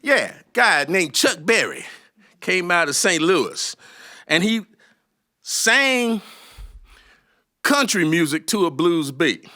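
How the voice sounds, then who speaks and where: A man performing a musical story live, animated and emphatic, with dramatic pauses. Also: high voice. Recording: guitar music behind the voice. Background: acoustic guitar playing.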